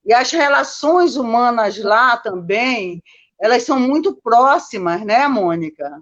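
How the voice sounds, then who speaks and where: A woman's voice: fairly high pitch, expressive, a little slow, a bit bright, dull audio. A Brazilian woman chatting casually as a guest, telling personal stories and often checking for agreement. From born in Manaus, lived mostly in Minas Gerais, Brazil. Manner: chatty, constantly seeking agreement with tag questions. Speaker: guest, Copacabana resident.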